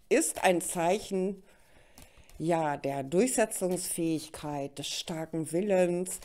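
A woman's voice: medium pitch, a little mellow. A middle-aged woman with a slight cold, chatting directly to the listener as she hosts an informal tarot reading. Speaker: tarot reader and host. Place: indoors at a card table.

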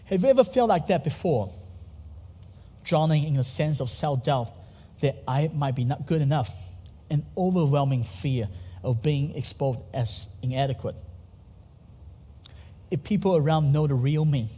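A man's voice: fairly high pitch, lively intonation, measured pace, pauses often, dark tone, slightly rough. Taiwanese man preaching in a hall. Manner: earnest, gesturing while speaking. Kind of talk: sermon. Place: church stage, dark curtains. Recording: long reverb of a large hall.

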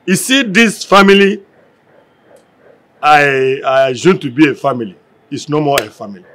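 A man's voice: on the high side, lively intonation, moderate pace, a bit bright, a little hiss or hum. An actor with a Nigerian accent playing a fed-up, self-important older family man who complains in declamations. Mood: exasperated. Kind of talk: Nollywood film acting.

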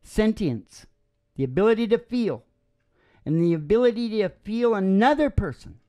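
A man's voice: high-pitched, big pitch swings, normal speed, lo-fi sound. A middle-aged man hosting a music show, giving an informal philosophical sermon in short, emphatic, folksy phrases into a close microphone. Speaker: musician and radio show host. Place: small wood-panelled room. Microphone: close stand microphone.

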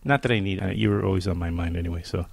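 A man's voice: low voice, rapid-fire, creaky, no echo. A man hosting an interview, asking loose, rambling questions with frequent restarts. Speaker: podcast host.

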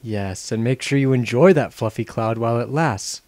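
A man's voice: medium pitch, moderate pace, a little mellow, no room sound, close to an everyday voice. A man voicing a cocky, callous young adventurer with a glib, sarcastic manner in a parody game dub. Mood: mock soothing.

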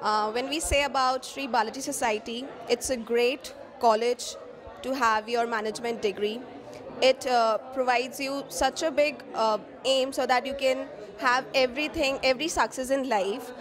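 A young woman speaks into a handheld mic, slightly high-pitched and earnest. She pauses with small fillers as she praises her course. A crowd murmurs behind her. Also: fairly even intonation. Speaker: alumna giving a testimonial. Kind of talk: short spoken testimonial. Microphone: handheld microphone.

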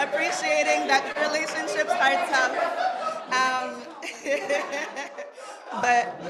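A young woman from Zimbabwe with a raspy voice, performing spoken word poetry at a lectern microphone in an indoor room with dramatic, rhythmic delivery. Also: mid-range voice, sharp tone. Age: young adult. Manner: dramatic, rhythmic declamation with sweeping gestures. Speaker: featured poet. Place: indoor room with brick wall, standing at a lectern. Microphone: stand microphone at the lectern.

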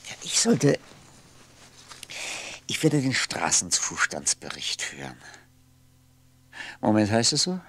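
An actor playing a lonely man who mutters to himself in a wry, halting way in a 1960s German radio play. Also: on the low side, huge pitch swings, a bit bright, breathy edge, full character voice. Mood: musing, hesitant.

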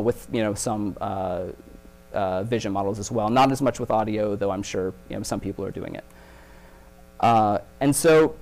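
Keynote speaker, male, mid-pitched voice, explanatory delivery with many fillers. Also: a little mellow. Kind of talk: conference keynote talk. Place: conference stage.

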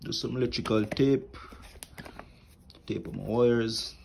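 A man's voice: medium pitch, slow, creaky, darkish tone, a little hiss or hum. A young man, an HVAC technician, casually walking through his tool bag at home, explaining each tool plainly with fillers, recorded on a phone held close. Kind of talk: casual tool tour walkthrough. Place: indoors at home, wooden floor. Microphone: phone camera held close, filming hands.

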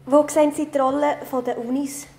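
A woman, asking an interviewee polite, measured questions in an office. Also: high-pitched, flat delivery, gravelly, darkish tone, echoey room. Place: office, indoors.

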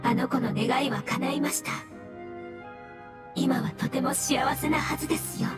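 A gentle floating mushroom spirit voiced by a woman for a video game. The voice is high, polite and solemn, softly wistful. It sounds distant and echoey, with music clearly behind it. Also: normal speed, lightly acted. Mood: serene, consoling.

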